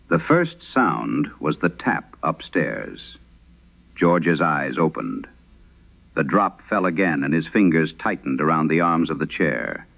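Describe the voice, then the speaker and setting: Man playing grave, deep-voiced aging priest exorcist. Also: vocal fry, phone-quality sound, exaggerated voice. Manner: grave, measured, solemn. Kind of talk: dramatic first-person horror radio storytelling.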